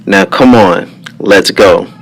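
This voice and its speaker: A man's voice: low voice, slow, faint music behind, heavily acted. A man playing a sly, cool older boy cousin, coaxing and laid-back, in a narrated story.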